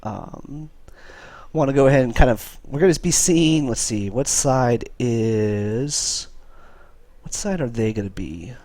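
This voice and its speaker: A man hosts a live game stream while fighting a cold, talking in a loose, chatty way full of fillers. His voice sits at a middle pitch, recorded close and dry with no room sound. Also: takes it slowly, no room sound. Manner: relaxed, chatty, many fillers. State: has a cold. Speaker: esports caster and stream host. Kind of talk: casual live game commentary.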